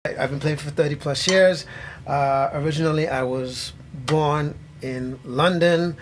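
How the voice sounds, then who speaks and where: Chatty middle-aged man, fairly high voice. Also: fairly even intonation, a little slow, slight background noise. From Trinidad and Tobago. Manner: rambling, many restarts, laughs easily. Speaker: drummer interviewed by bandleader. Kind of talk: casual interview chat.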